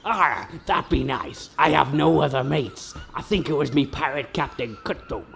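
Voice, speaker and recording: Creaky, hearty old captain. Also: male voice, medium pitch, animated, normal speed, fairly bright tone, slight background noise. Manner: hearty, drawling pirate talk. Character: gruff, cheery one-eyed old pirate sea captain. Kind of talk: comic radio sketch acting.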